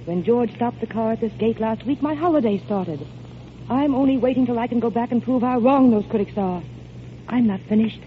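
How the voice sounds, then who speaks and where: An actress playing a proud, wounded young former singer in a radio drama, with refined, dramatic diction. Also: female voice, fairly high pitch, a little fast, natural voice. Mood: defiant. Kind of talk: 1940s radio drama acting. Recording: tinny, faintly noisy old radio recording.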